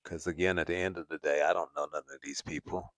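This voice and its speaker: A man's voice: a bass voice, steady tone, fast, fairly bright tone, no room sound. A man hosting a casual livestream, chatting to viewers and laughing often. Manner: laughs often, repeats "at the end of the day". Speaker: host.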